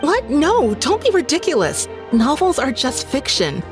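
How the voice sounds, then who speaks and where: An actress playing a brash young woman in a game, snappy and quick to scoff. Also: high-pitched, wildly swooping pitch, heavily acted. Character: young woman, brash and proud of her land's heroes. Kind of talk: game voice acting. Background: game music.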